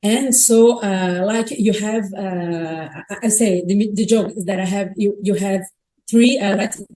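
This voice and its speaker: A Brazilian woman in her thirties, a native Portuguese speaker, speaks at a tech conference. Her voice is mellow and mid-pitched. She talks in a chatty way and often restarts her sentences. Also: measured pace. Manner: chatty, self-correcting, frequent restarts. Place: conference stage.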